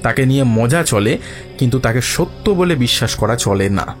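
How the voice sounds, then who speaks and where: Casting: male actor for sharp, self-assured sleuth, middle register, calm reasoning, audio mystery with musical bed. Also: medium pitch, natural voice. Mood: sceptical, probing. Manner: measured, reasoning, dryly ironic. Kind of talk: dramatised Bengali detective audio story. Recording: music behind the voice.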